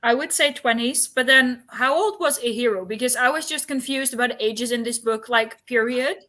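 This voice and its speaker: A woman with a rather high, very bright voice hosts a relaxed book discussion. She talks informally and reflectively, offering opinions in a tentative way. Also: moderate pace. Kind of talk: casual book chat.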